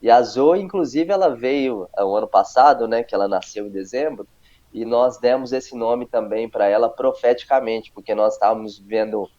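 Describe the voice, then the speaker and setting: A pastor in his thirties with a mixed Brazilian accent, calmly telling his story in an interview, with many halting tag questions. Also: medium pitch. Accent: mixed Brazilian Portuguese, northeastern and paulista. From Paraíba, Brazil. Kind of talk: casual testimony interview. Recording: muffled lo-fi sound.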